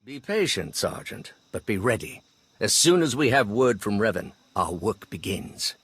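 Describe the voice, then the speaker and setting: An actor playing a proud, calculating imperial lord in a video game, speaking in a measured, formal, haughty way. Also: male voice, on the low side, very animated, fairly bright tone, breathy edge, no room sound, exaggerated voice. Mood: patient, commanding. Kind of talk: video game character acting.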